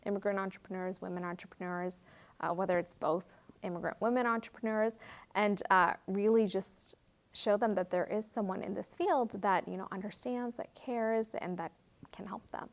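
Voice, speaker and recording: A woman in her thirties from Fiji, a law firm partner, speaking earnestly in an interview and often pausing with fillers. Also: medium pitch, fairly even intonation. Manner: earnest, reflective, frequent fillers. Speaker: guest, real estate attorney. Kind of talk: interview conversation.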